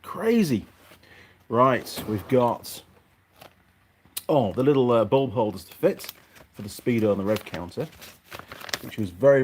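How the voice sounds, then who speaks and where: A man chatting casually as a vlog host and mechanic, muttering and meandering as he goes. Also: fairly low pitch. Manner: muttering to himself, hesitant, meandering. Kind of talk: casual rambling workshop vlog. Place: workshop. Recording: noisy, clicks and rustling of parts.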